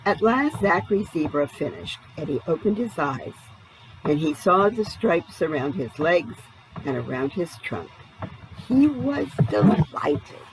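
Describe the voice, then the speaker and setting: A woman's voice: lowish voice, sing-song, measured pace, noisy background. A woman narrating a children's storybook expressively, with a dramatic read-aloud delivery. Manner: dramatic, asks the listener questions. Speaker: storybook reader.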